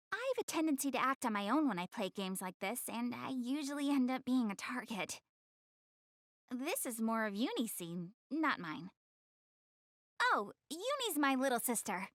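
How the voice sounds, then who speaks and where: An actress voicing a proud, easily flustered young anime goddess in a video game, haughty yet earnest in manner. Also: female voice, high-pitched, a bit bright, no echo, clean studio sound. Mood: chatty. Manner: haughty, stammers when flustered, explains things earnestly. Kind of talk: English anime game voice acting.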